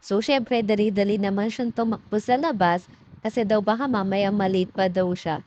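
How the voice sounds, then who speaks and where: A woman's voice: medium pitch, steady tone, moderate pace, a little mellow, lo-fi sound, a little hiss or hum. A young Filipino woman with a chatty, informal manner, narrating a casual vlog as a voice-over. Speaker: mom vlogger.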